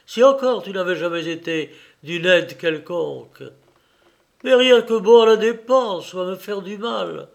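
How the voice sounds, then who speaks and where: A bitter middle-aged mother from a storybook drama, as a male reader acts her out. She is scornful and full of self-pity, scolding her son in a raised pitch. The delivery is theatrical and weighty.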